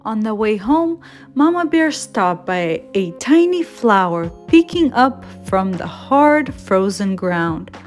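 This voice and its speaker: A woman reading a children's storybook aloud as storyteller in a gentle, expressive manner. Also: medium pitch, slow, soft background music.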